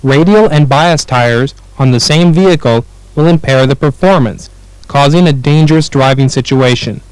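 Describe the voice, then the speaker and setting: A man with an American accent reading scripted instructional narration for a training film in a clear, measured way. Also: mid-range voice, dry recording, rough recording.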